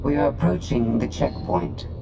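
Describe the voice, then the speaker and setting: An actor playing a formal, emotionless operative in a video game, with a precise, stiff delivery. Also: male voice, mid-range voice, sing-song, normal speed, background noise. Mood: detached, businesslike. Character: formal, emotionless male operative controlling ship systems. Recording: loud music behind the voice.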